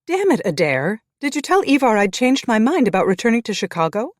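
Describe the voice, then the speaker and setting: Narrator putting on a fiery, shrill young woman. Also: very animated, brisk, no echo, clean studio sound. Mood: exasperated. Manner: high-pitched, loud, indignant.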